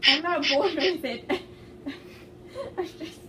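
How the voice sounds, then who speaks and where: A woman's voice: high-pitched, takes it slowly, background noise. A young woman chatting casually and playfully with friends. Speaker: participant in group fan fiction reading. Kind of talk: casual chat among friends. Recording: distant mic, loud chatter around.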